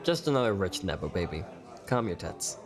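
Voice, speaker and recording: A man's voice: deep voice, big pitch swings, fairly quick, crowd noise. An actor playing a sassy, hot-tempered young male bar performer in an audio drama, sarcastic, flippant and sweary. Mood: irritated sass.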